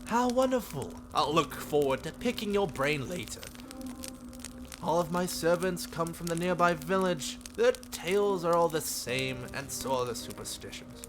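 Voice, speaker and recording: A man's voice: a little high, normal speed, over music, natural voice. A man voicing a cheerful, sheltered young princess in a visual novel, with an airy, regal, slightly formal manner. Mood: cheerful, eager. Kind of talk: visual novel character read-aloud.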